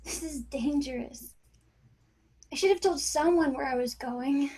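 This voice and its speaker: A woman plays a worried, innocent girl of twelve in a screenplay read. The voice is high, with a fretful and hesitant manner. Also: a little slow, darkish tone. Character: anxious twelve-year-old innocent girl.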